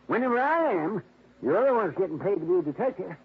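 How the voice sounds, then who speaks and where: An actor playing a gruff, quick-tempered older man who owns a prized dog, in 1950s radio drama style, heard through a tinny old broadcast. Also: high voice, very animated, full character voice. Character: blustering older man, rich dog owner.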